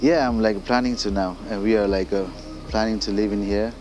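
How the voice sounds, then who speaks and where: A Nepali-speaking man with a Nepali accent, a singer-songwriter talking casually as a guest, halting and full of fillers like "you know" and "like". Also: lowish voice, fairly even intonation, a little mellow, soft background music. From Darjeeling hills, India. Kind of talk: casual interview. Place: indoors.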